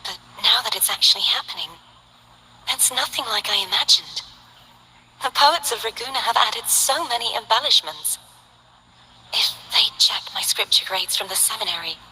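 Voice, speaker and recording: A woman's voice: mid-range voice, moderate pace, bright, forceful tone, a little hiss or hum, low-quality recording. A woman voicing a friendly, cheerful young female dancer in a game, chatty and candid. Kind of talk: game voice lines.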